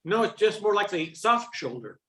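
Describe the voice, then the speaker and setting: A man's voice: high-pitched, sing-song, moderate pace, bright, forceful tone, heavily acted. A man playing an awkward, flustered professor in a radio comedy play, hesitant and prone to stammering. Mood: earnest. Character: awkward, flustered, charmless male professor smitten with a colleague.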